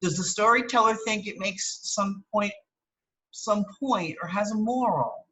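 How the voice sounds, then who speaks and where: A woman with a bright, forward voice of medium pitch reads educational material aloud. She sounds like a teacher, instructive and explanatory, stepping out of the text to clarify and to check that listeners understand. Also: measured pace, poor audio. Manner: instructive, checks understanding with tags like okay and right. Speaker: teacher reading an article aloud.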